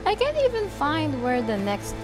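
A woman's voice: sits high, wildly swooping pitch, moderate pace. A woman giving casual, sweary let's play commentary as a game streamer, reacting aloud in an informal way. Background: video game music.

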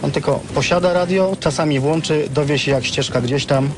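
A man's voice: on the high side, a little fast. A middle-aged man answering interview questions in an explanatory, opinionated, articulate way. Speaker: veteran CB radio user, interviewee. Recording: clearly noisy, vehicle sounds behind.

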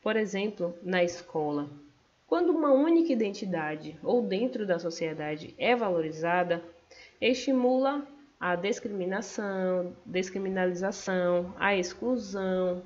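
A woman's voice: lowish voice, measured pace, darkish tone. Brazilian woman presenting a class topic. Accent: Brazilian Portuguese. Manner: explanatory, hesitant fillers. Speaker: education student presenting. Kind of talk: class presentation over slides. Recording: dull lo-fi recording.

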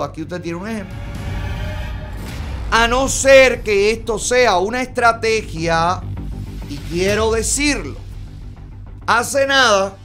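A man's voice: high-pitched, a bit bright. A middle-aged Cuban man with a Cuban Spanish accent, hosting a gossip commentary show in a studio, emphatic and sarcastic. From Cuba. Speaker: host. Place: bright studio, seated in a red chair.

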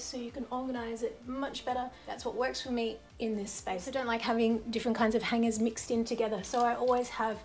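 Chatty Australian woman vlogging at home. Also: fairly high pitch. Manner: upbeat, chatty, practical. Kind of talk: casual chatty vlog talk. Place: small bedroom by a wardrobe, indoors.

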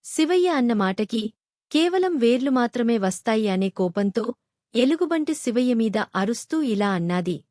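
A woman narrates a children's moral tale from a script. Her voice sits a little high. The recording is very dry, with no room echo. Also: expressive, normal speed, a little mellow.

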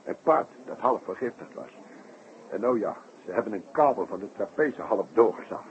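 An actor playing an excitable, chatty, worried circus performer in an old radio play, effusive and stammering. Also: male voice, on the low side, normal speed. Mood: exasperated. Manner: effusive, stammering, slips in foreign phrases. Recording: tinny old radio recording, faint noise.

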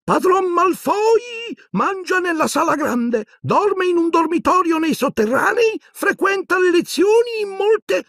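A man voicing a bitter, servile old male house-elf with a croaking voice in an audiobook. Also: extremely high pitch, lively intonation, unhurried, few pauses, a bit bright, no room sound, studio quality, pitched above the performer's own voice, heavily acted. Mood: haughty.

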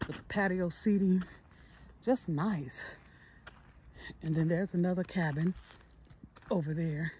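Female vlogger, middle-aged. Unhurried, chatty, plain-spoken, recorded on a handheld phone with noticeable background noise. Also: on the low side, mellow. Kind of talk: casual vlog chat to viewers. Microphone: phone at arm's length.

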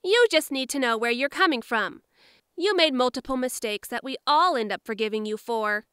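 A woman's voice: high voice, animated, moderate pace, fairly bright tone, clear, resonant tone, dry recording, clean studio sound. A woman voicing a flirty, bossy but caring female bat in a fan audio drama, with a coaxing, teasing manner. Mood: coaxing, reassuring.

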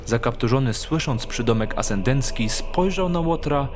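A man's voice: medium pitch, lively intonation, moderate pace, over music. A man narrating in a scripted, dramatic storytelling style.

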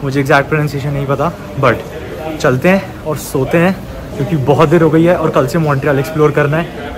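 A man's voice: on the high side, steady tone, quick pace, a crowd in the background. A man in his early twenties with an Indian accent chatting casually as a travel vlogger, mixing in English words. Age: early 20s. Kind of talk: casual vlog chat. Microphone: phone at arm's length.

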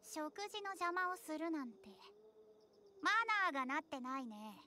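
A woman's voice: high voice, expressive, moderate pace, sharp tone, slight background noise. A woman playing a cocky young dragon girl with cool, boyish phrasing in an anime visual novel. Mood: cool, unbothered. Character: cocky young dragon girl, calm and playful, easily unimpressed.

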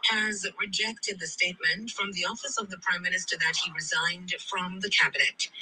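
A male anchor reads a news item in a measured, formal broadcast manner. His voice is high and bright. It comes from a TV clip with a faint hiss underneath. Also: low-quality recording. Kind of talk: read TV news report.

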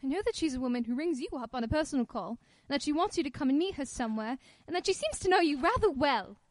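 A woman acts a persistent, inquisitive wife in a radio play. Her voice is high and theatrical, earnest and probing, suspicious yet affectionate. The sound is close and dry. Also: expressive, quick pace, no room sound.

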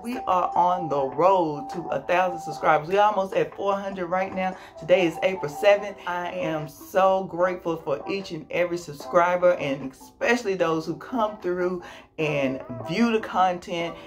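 Upbeat woman vlogger, forties, lower register, casual home unboxing with music in the background. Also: moderate pace. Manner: chatty and upbeat, with laughs and exclamations. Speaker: fitness YouTuber. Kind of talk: casual product unboxing vlog. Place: small bedroom at home. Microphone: camera mic at a few feet. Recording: music playing under the voice.

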